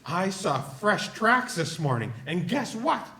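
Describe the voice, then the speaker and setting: A man's voice: sits high, very animated, slow pace, pushed and bright, heavily acted. A middle-aged man acting a wisecracking, incredulous cartoon everyman on a stage microphone. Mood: excited. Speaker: actor at a table read. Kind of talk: live comedy script read. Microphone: stage microphone on stand. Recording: stage microphone with clear room noise.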